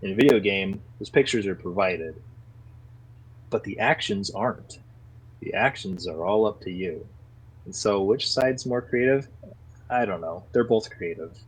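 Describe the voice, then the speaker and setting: A man talking casually as a podcast guest, earnest and getting animated when he defends his views. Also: on the low side, unhurried, slightly rough, low-quality recording. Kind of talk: casual conversation.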